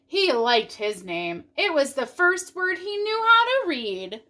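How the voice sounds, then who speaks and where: A woman reading a storybook aloud for children in an animated narrating style. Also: very high-pitched, slow pace. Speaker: storybook reader.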